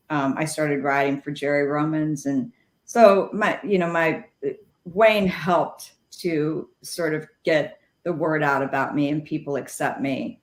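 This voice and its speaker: A middle-aged woman, a former jockey, telling lively stories as an interview guest and acting out the dialogue. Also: deep voice, echoey room. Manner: animated anecdotes with quoted dialogue. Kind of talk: storytelling interview.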